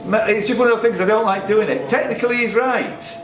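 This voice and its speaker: A man's voice: sits high, fairly even intonation, fast, distant mic. A man hosting a quiz, reading out questions and bantering casually with the players. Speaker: quizmaster. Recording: echoey room, off-mic, music behind.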